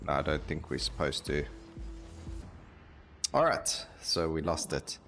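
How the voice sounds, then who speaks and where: A man's voice: rumbling low, animated, measured pace, vocal fry, fairly bright tone, faint music behind. A man giving casual gameplay commentary as the host of a gaming video.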